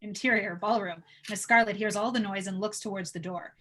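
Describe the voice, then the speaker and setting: A woman's voice: medium pitch, fairly even intonation, a little fast, bright, forceful tone. A woman narrating stage directions at an informal table read, reading scripted scene descriptions aloud.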